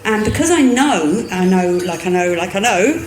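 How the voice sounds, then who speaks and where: A woman's voice: medium pitch, lively intonation, moderate pace, slight background noise. A woman interviewing people and speaking hopefully, thinking aloud and repeating herself. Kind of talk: casual interview chat.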